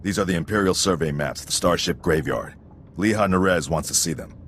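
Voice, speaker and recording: Game sergeant: very deep, stern, formal and clipped, faint noise behind. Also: male voice, brisk, a bit bright. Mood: commanding. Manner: clipped, formal military delivery. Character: stern, unflinching military sergeant, coldly reciting casualty statistics. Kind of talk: video game voice acting.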